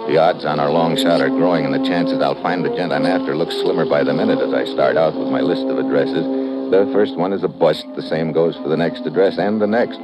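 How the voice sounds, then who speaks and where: An actor playing a brisk, wry investigator who questions people and narrates his case in an old radio detective drama. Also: very deep voice, steady tone, loud music behind the voice. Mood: wry narration. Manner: clipped, wry, businesslike. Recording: dull, noisy vintage radio recording.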